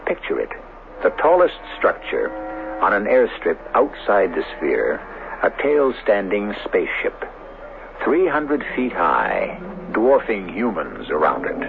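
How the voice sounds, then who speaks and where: An actor playing a brooding, portentous storyteller, narrating a radio drama in a measured, dramatic way. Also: male voice, fairly low pitch, vocal fry, exaggerated voice. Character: brooding storyteller of a space colony tale. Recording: muffled, hissy old radio recording.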